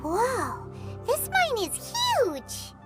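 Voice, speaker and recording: A woman voicing a small, blunt, excitable fairy companion with a childlike, cheeky delivery in a game. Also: extremely high pitch, extremely slow, exaggerated voice. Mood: amazed. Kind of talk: voiced game dialogue. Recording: loud music behind the voice. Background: music.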